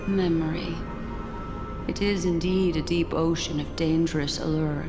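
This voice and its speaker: An actress voicing a mysterious, curious woman who muses in slow, measured lines, in a game cutscene. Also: lowish voice. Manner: slow, measured, intrigued musing. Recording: game cutscene with reverb and music.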